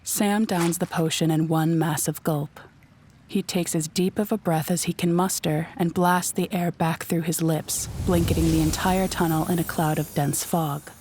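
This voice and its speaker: A woman's voice: low-pitched, steady tone, moderate pace, a little mellow, slight background noise. A woman narrating a scripted audio drama in a descriptive storytelling manner.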